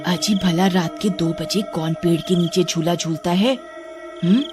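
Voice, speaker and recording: A woman's voice: lowish voice, steady tone, measured pace, music in the background, pitched below the performer's own voice, natural voice. A woman voicing a timid, fretful village wife who scolds her husband in a dramatic cartoon manner. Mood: alarmed. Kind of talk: Hindi cartoon character acting.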